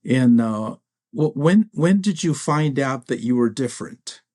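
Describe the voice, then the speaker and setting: A man's voice: mid-range voice, animated, a little slow, darkish tone. A man hosting an interview as a pastor, speaking in a reflective way with frequent restarts. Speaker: pastor and host. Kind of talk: interview conversation.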